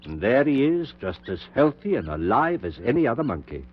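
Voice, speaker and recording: An actor playing an obsessed, middle-aged research scientist in a 1940s radio drama, earnest and insistent, with formal diction. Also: male voice, mid-range voice, very animated, fairly quick, breathy edge. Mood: assured, genial. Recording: dull 1940s radio recording.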